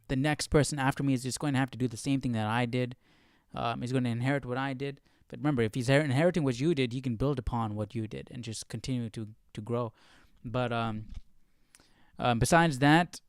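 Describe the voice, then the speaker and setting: A man's voice: mid-range voice, smooth, dry recording. A man talks casually as the host of a Bible study stream, with a clean, resonant voice recorded dry. He speaks off the cuff, searching for his words and drawing out phrases for emphasis. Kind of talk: casual unscripted commentary.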